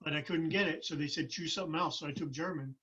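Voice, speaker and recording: A man in his fifties, a military retiree, talking reflectively as a podcast guest with some halting restarts. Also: a little high, bright, forceful tone, husky, muffled recording. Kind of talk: casual conversation.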